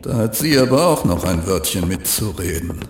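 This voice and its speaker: A man playing a courteous older spaceship captain with dry wit and a measured, polite manner in a science-fiction audio drama. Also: sits low, animated, a little hiss or hum. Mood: skeptical, dry. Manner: measured, polite, dryly ironic.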